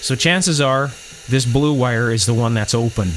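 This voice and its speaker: A man's voice: mid-range voice, moderate pace, background noise. A man with a mid-range voice talks through repair work as he does it. He explains each step methodically, in the manner of someone giving a practical demonstration. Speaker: electronics repair host. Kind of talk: hands-on repair demonstration, talking while working.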